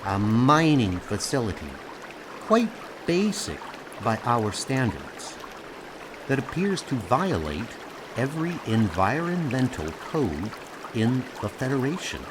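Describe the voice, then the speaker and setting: A man's voice: medium pitch, big pitch swings, takes it slowly, background noise. A man voicing a logical female alien starship officer in a comic audio drama, precise and formal. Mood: analytical.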